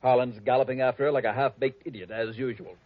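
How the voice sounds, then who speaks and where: A man's voice: medium pitch, fairly even intonation, natural voice. Stuffy, condescending scheming man played by an actor. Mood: prim, disdainful. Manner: prim, measured, condescending. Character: stuffy, scheming male suitor, coolly superior and stingy. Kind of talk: old-time radio drama acting. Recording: dull vintage radio recording.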